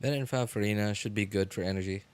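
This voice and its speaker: A man live-streaming a game, chatting casually with viewers and answering chat in a relaxed, chatty way. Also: lowish voice, very fast, faint music behind. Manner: frequent fillers and restarts, laughs easily.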